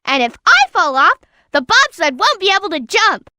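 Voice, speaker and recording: A performer voicing an excitable, cheeky little boy bee in a video game, squeaky, bubbly and eager. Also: normal speed, no room sound, studio quality, exaggerated voice. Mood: eager, explaining. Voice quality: squeaky, shrill. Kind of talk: video game character acting.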